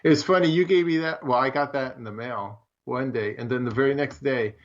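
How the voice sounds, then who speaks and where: A man's voice: medium pitch, a little fast. A man chatting casually as a co-host in a laid-back, colloquial way. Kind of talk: casual chat. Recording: slightly dull, lo-fi audio.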